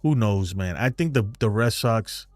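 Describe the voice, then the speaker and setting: A man with a New York accent talks in a low, dry voice, rambling and frank as he hosts a casual live stream. He chats loosely with viewers, and faint music plays behind him. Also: expressive, moderate pace, no echo. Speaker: host of a fan live stream.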